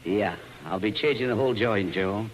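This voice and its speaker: Man playing a low-voiced, glib con man. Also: moderate pace, lightly acted, low-quality recording. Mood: confident. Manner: glib, persuasive. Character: smooth-talking, scheming male hustler, mock-sorrowful con man. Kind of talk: 1940s radio drama acting. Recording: hissy old radio recording.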